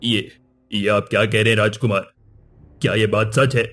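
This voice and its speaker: Low, even-voiced stern king played by a man. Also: normal speed. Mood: surprised, regretful. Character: stern, dignified king and father, middle-aged. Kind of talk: Hindi cartoon moral-story dialogue.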